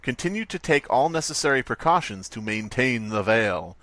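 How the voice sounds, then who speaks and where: A man's voice: medium pitch, animated, moderate pace, a bit bright, dry recording. A man co-hosting a podcast in casual, joking conversation. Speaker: podcast co-host. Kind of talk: casual comedic chat.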